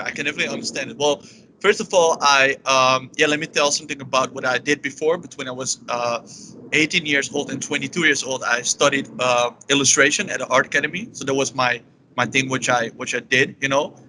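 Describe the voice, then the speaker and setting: A man's voice: medium pitch, fairly even intonation, brisk, bright, forceful tone, a little hiss or hum, rough recording. A young Dutch man with a Dutch accent, answering interview questions in a rambling, casual way, full of "you know" and "like". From Netherlands. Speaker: guest, language learner.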